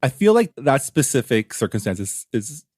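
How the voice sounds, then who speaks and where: A man's voice: medium pitch, very animated, normal speed, darkish tone, husky, no room sound, studio quality. A man co-hosting a podcast, chatting casually with a guest. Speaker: podcast co-host.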